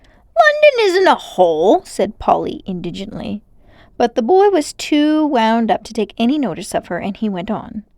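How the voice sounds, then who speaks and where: A woman reading a children's story aloud as an expressive narrator. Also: fairly high pitch, moderate pace, no echo, clean studio sound.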